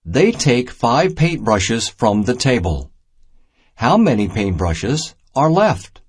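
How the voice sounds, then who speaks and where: A man reading a children's math storybook aloud in a deliberate, simple teaching manner. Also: medium pitch, husky. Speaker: narrator of a children's math reader.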